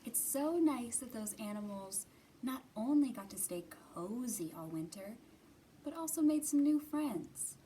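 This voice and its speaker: A woman's voice: a little high, animated, unhurried, dark tone, a little hiss or hum, rough recording. A woman hosting a children's storytime, speaking in simple, encouraging language meant for young children, as she reads and leads puppet play. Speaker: children's library storytime host. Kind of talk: children's storytime reading, puppets and sing-along. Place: indoors in a library.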